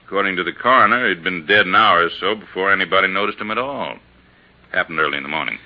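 Easygoing American cop, very low voice, laconic and matter-of-fact, muffled vintage radio drama sound. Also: male voice, fast. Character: male police sergeant, plain-spoken, easygoing, matter-of-fact. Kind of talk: 1950s radio drama acting. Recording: muffled, noisy 1950s radio recording.

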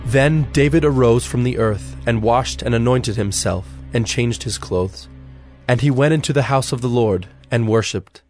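A man narrating scripture in a measured, formal way as a scripted reading. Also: medium pitch, a little mellow, soft background music.

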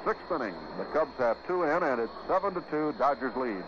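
An American man doing 1950s radio baseball play-by-play in short, measured, clipped phrases. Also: medium pitch, expressive, highs cut off. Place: ballpark radio broadcast. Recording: noisy, band-limited 1950s radio broadcast.

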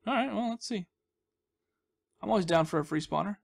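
A man's voice: high-pitched, expressive, fairly quick, vocal fry. A young man giving casual, rambling commentary as he hosts a gaming video, with a goofy, self-deprecating, mock-dramatic manner.

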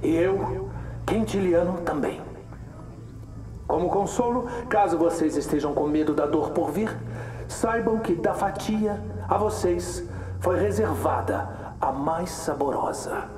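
A fanatical revolutionary orates in an audio drama. His voice is fairly high, echoing from a distance. He is solemn, deliberate and menacing, like a man addressing captives. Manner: grandiose, deliberate, oratorical, dropping to a whisper at times. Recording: distant, echoey, with loud music.

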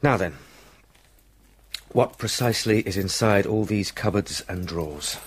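A man's voice: lowish voice, unhurried, slight background noise, close to an everyday voice. An actor playing a proper young English solicitor in a radio drama, talking to himself in short, clipped phrases as he steels his nerves. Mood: composing himself. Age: young adult. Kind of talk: British radio drama acting.

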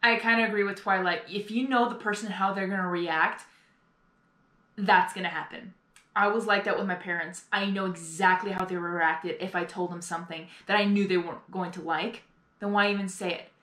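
A young adult woman giving casual, rambling reaction commentary in an earnest, halting, apologetic way. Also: mid-range voice, fairly even intonation, a bit bright. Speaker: reaction video host. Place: small bedroom, indoors. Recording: echoey room, music behind.

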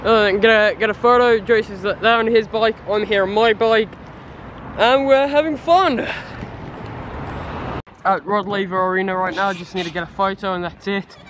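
A man's voice: high voice, fairly even intonation, moderate pace, fairly bright tone. A young man vlogging casually on a handheld camera out in the city. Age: teens or young adult. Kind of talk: casual vlog talk to camera. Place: busy train station and city streets outdoors. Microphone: handheld camera or phone. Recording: very noisy outdoor city ambience, handheld camera.

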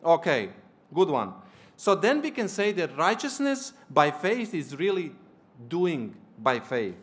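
Male preacher, somewhat high-pitched, didactic church sermon delivery with read-out passages and brief questions to listeners. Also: very animated, takes it slowly, fairly bright tone. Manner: didactic, checks in with listeners using short questions. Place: small church hall, at a lectern.

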